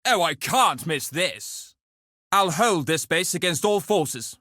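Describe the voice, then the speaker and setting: A man voicing a cocky, chivalrous duelist in a video game, throwing out short, sporting challenges and gentlemanly banter. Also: on the high side, animated, slow pace, no room sound, studio quality, exaggerated voice. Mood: cocky, eager. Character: cocky, chivalrous male duelist eager for a scrap.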